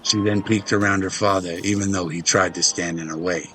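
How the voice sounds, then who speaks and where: A man's voice: low voice, fairly even intonation, fairly quick, background noise. A man reading scripted narration for an audio drama.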